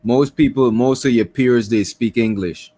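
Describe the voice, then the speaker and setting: A man hosting a call-in stream, talking in a relaxed, slangy way and asking his guests questions. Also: medium pitch, a little slow, no echo. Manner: laid-back, slangy, asks questions. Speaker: stream host. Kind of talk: casual chat.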